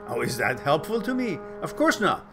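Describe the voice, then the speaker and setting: A man from Iran, a Farsi speaker, hosting a casual live chat and giving earnest, reflective advice with loose pauses and fillers. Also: a little high, sing-song, music in the background. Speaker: host reading live chat questions.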